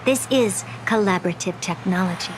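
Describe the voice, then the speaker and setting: A woman's voice: mid-range voice, normal speed, background noise, lightly acted. A woman playing a haughty young female genius scientist in a video game, imperious, theatrical and self-assured. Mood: proud, showy. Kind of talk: acted video game dialogue. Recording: background music under the voice.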